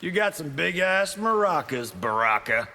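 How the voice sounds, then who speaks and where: A man's voice: fairly high pitch, big pitch swings, moderate pace, sharp tone, full character voice. A man voicing a cocky, wisecracking fighter in a fighting game. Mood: cocky, teasing. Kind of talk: fighting game character dialogue. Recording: reverberant hall, faint noise.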